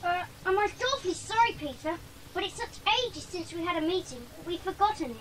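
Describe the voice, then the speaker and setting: A woman's voice: extremely high pitch, expressive, a little fast, a little hiss or hum, poor audio. A woman playing an apologetic, eager child club member in a dramatised children's audiobook.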